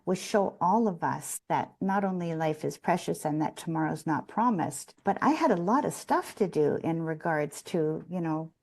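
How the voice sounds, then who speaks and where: A woman's voice: lowish voice, a little mellow. A middle-aged woman guest telling her life story frankly, reflective and rambling, with a few restarts. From Canada. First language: Hungarian. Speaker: interview guest. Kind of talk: candid personal storytelling.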